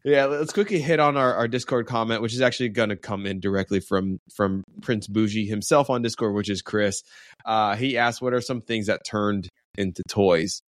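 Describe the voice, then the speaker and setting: Low-voiced man co-hosting a podcast. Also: normal speed, no echo, clean studio sound. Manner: relaxed, conversational, steers the topics. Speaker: podcast co-host. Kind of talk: casual chat.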